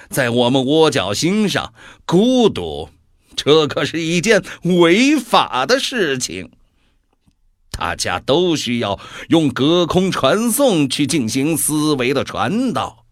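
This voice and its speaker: A slow, theatrical, world-weary devil. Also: male voice, mid-range voice, fairly bright tone, no echo, studio quality. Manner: deliberate, theatrical musing with sighs. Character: sardonic devil, rational inner voice, sighing and world-weary. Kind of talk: audio drama acting.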